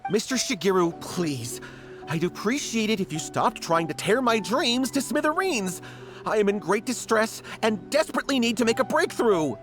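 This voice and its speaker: Earnest, stubbornly hopeful young game character, very animated and dramatic, music behind. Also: male voice, high voice, normal speed. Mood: pleading. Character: earnest, anxious young male struggling writer, stubbornly hopeful. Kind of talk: video game character dialogue.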